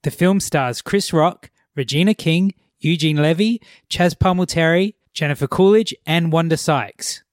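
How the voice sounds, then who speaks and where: A man's voice: fairly high pitch, no room sound, clean studio sound. Laid-back Australian man hosting a podcast. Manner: laid-back, laughs often, halting with ums. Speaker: podcast host. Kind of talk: casual chat.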